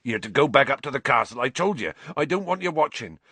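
Man acting huge, gentle, grieving rustic man. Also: medium pitch, animated, slightly rough, no echo, pitched above the performer's own voice, heavily acted. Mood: gruff, protective. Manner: halting, rustic dialect phrasing.

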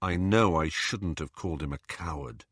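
A man reading a storybook, voicing an angry teenage boy with a sharp, cutting, scornful manner. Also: sits low, moderate pace, no room sound, clean studio sound, voice pushed down. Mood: pleading.

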